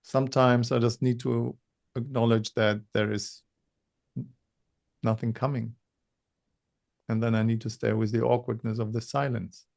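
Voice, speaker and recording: A man co-hosting a webinar, talking in a halting way with many repeated words; he says he stutters when insecure. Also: mid-range voice, level tone, vocal fry, a little mellow. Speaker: webinar co-host. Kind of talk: casual webinar talk.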